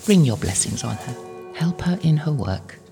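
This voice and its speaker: An actress playing an elderly, devout medieval holy woman in an audio drama, gentle and wistful, musing hesitantly. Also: extremely low pitch, big pitch swings, a little slow, gravelly, heavily acted. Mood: prayerful, confiding. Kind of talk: audio drama acting, intimate prayerful monologue. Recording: long hall-like reverb, faint music behind.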